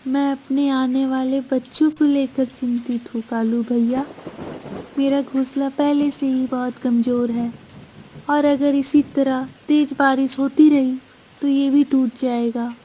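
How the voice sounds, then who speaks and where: Lady doing a worried mama bird for a cartoon, real high soft-toned voice, pleading, rainy noise behind. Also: little pitch movement, unhurried, rough recording. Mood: anxious. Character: anxious, pleading young mother bird, female. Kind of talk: dramatized Hindi children's cartoon story.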